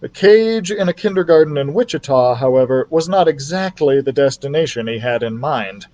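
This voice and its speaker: A man's voice: medium pitch, expressive, normal speed, a little mellow, lo-fi sound. A man narrating a children's storybook expressively, as a library storyteller reading aloud.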